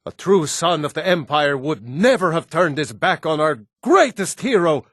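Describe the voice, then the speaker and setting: An actor playing a fervent Nord preacher in a fantasy video game, declaiming with bombastic, drawn-out oratory. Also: male voice, a little high, lively intonation, a bit bright, no room sound, studio quality. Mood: indignant, scornful. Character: fervent Nord preacher zealously devoted to his god Talos.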